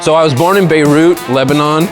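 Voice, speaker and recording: A man from Los Angeles talking about his comedy in an interview. He is deadpan, blunt and self-deprecating. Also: a little high, a little fast. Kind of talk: interview talk. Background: guitar music.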